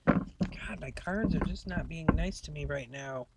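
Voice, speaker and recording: A woman's voice: sits low, measured pace. Chatty tarot-reading woman, noisy stream. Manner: rambling, chatty, many fillers. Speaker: tarot reader.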